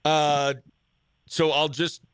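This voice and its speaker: Bright, chummy American male radio host. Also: fairly high pitch, little pitch movement, extremely slow, clear, resonant tone, no echo. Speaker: morning radio show host. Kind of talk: upbeat radio banter and call-in game hosting. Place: small radio station studio.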